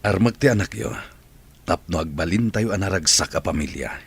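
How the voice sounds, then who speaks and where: A man's voice: deep voice, moderate pace, vocal fry, breathy edge, full character voice. A man playing an earnest suitor in an Ilocano audio drama, speaking sincerely with an Ilocano accent. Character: earnest adult suitor promising a happy family. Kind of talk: Ilocano radio-style drama acting.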